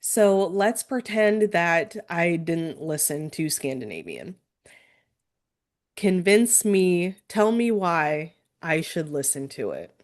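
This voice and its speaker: A woman's voice: lowish voice, very animated, slow pace. A woman hosting a casual interview who encourages her guest and laughs easily. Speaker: interview host.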